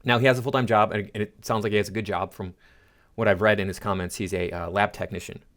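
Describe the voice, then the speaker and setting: A man's voice: on the low side, fairly even intonation, fast talker, fairly bright tone. A man giving casual, opinionated reaction commentary to viewers in a blunt, direct way. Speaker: commentary YouTuber.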